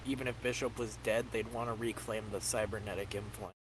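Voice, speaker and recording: A man's voice: on the low side, steady tone, fairly quick, lightly acted. A man playing an analytical military colleague in a sci-fi game role-play. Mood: reasoning.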